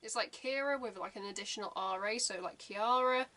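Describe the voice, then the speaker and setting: Bright-voiced chatty woman. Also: medium pitch, fairly quick. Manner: rambling, chatty, many fillers. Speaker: reaction channel host. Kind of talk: casual reaction commentary.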